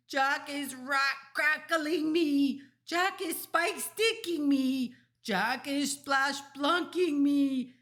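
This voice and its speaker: A woman's voice: high-pitched, a bit bright, voice pushed up, full character voice. A woman voicing a huge brutish male man-eating giant in a storybook, screeching and begging in terror. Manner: bellowing, screeching, pleading.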